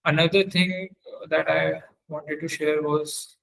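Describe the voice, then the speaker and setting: A man teaching in a webinar, demonstrating software step by step and often halting to search for words. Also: on the high side, fairly even intonation, poor audio. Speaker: webinar trainer.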